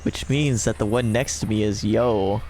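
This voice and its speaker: A man's voice: on the low side, fairly even intonation, normal speed, a little mellow, slight background noise, poor audio. A man hosting a gaming video, switching between casual joking chat with a friend and reading a visual novel's narration aloud.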